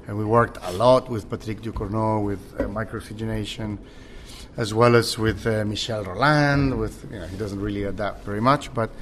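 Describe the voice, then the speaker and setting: A man from Chile, a winery general manager, talking casually about wine with many halting restarts. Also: fairly low pitch, fairly even intonation, slight background noise. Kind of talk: casual conversation.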